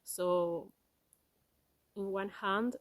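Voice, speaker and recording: A woman whose first language is Spanish speaks English with a Spanish accent. She hosts a weaving tutorial in a gentle, halting way with many fillers. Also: medium pitch, steady tone, no echo. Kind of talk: casual personal talk and craft tutorial.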